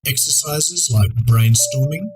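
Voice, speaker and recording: A man's voice: fairly high pitch, a little slow, background noise. Man narrating a scripted writing lesson. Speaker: writer presenting an educational video.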